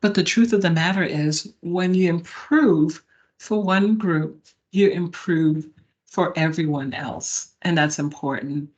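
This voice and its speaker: A woman's voice: on the low side, takes it slowly, a little mellow, muffled recording. A middle-aged woman giving a webinar talk, conversational and emphatic, often checking in with her listeners. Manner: conversational, emphatic, addresses audience with "y'all" and "right?". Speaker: presenter. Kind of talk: webinar presentation.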